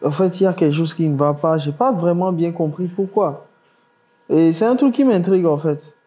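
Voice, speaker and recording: Curious man with a slightly high mellow voice. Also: normal speed. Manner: curious, questioning, thinks aloud with fillers. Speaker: podcast host. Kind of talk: casual podcast conversation.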